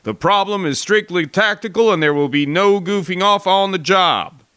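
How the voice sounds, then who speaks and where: A brisk American army sergeant, acted by a male audiobook narrator, briefs his troops in a loud, raised voice. He barks in a commanding, no-nonsense way. The sound is dry and close. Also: high-pitched, no echo, pitched above the performer's own voice. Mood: stern, commanding.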